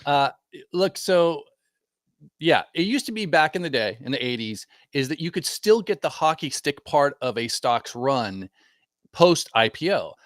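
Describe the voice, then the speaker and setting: A man's voice: fairly high pitch, very animated, unhurried, no room sound, studio quality. A man appearing as a guest on a market show, teasing the hosts and then explaining the market. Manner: teasing, wry. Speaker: featured guest. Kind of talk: casual banter.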